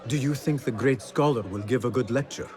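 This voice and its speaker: An actor playing a polite, measured young assassin from medieval Baghdad in a video game, speaking formally. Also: male voice, medium pitch, crowd noise, lightly acted. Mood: courteous, smooth. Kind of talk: video game cutscene acting.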